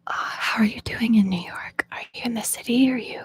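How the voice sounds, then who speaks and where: A young woman from Oklahoma, an ASMR host, chatting in a soft whisper with viewers on a live stream, answering their questions and laughing often. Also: on the high side, brisk, slightly rough. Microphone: phone.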